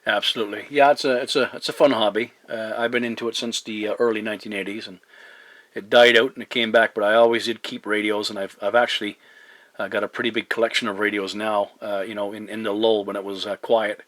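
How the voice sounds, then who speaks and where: A middle-aged man with a lowish voice speaks as a CB radio operator. He is courteous and measured, pausing to find his phrasing as he chats in radio jargon. The sound is close and dry. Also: little pitch movement, a bit bright, dry recording. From Newfoundland, Canada. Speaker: amateur CB radio operator hosting a video contact.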